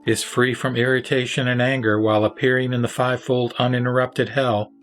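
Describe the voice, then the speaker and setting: Guy reciting scripture, formal and measured, mid pitch, music in the background. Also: level tone, fairly bright tone. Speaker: narrator and reader.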